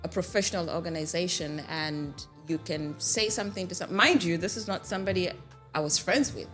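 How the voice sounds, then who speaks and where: A woman's voice: on the low side, fairly quick, a bit bright. A young woman hosting a casual interview, chatty and teasing, with faint music in the background. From Tanzania. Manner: chatty, teasing, laughs easily. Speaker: podcast host.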